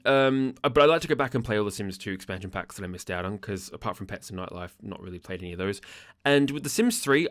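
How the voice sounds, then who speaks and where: A young man with a croaky, gravelly voice from a sore throat, rambling casually with lots of ums and laughs over a game build. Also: on the low side, fairly bright tone, no echo, studio quality. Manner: rambling, self-interrupting, frequent ums and laughs. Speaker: gaming YouTuber.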